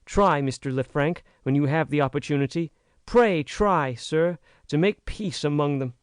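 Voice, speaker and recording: A man's voice: fairly high pitch, moderate pace, clean tone, no echo, studio quality, natural voice. A man reading an audiobook, voicing an earnest, frank young American woman who pleads with emphasis. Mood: earnest pleading.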